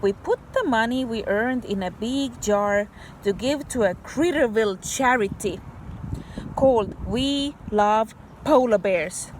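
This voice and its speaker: Female schoolteacher for storybook read-aloud: unhurried, higher voice, patient simple explanations, noisy background. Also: a little mellow. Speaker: teacher reading aloud to young children. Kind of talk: slow, expressive picture-book read-aloud with simple explanations.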